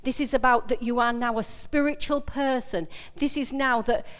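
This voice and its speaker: A woman preacher with a Yorkshire accent speaks in a slightly high-pitched voice that carries long hall reverb. She delivers an informal sermon, conversational and emphatic, circling back on phrases and addressing her listeners directly. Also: fairly even intonation, moderate pace, fairly bright tone, clear, resonant tone. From Yorkshire, England. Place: large indoor meeting room.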